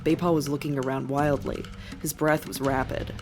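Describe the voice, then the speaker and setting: A woman's voice: sits low, little pitch movement, normal speed. A woman reading scripted fiction as a dramatic narrator. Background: music.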